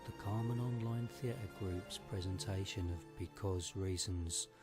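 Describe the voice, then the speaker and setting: A man announces as narrator of a horror audio play. His voice sits a bit low and moves very slowly, with music clearly behind it. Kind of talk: scripted credits narration for horror audio theatre.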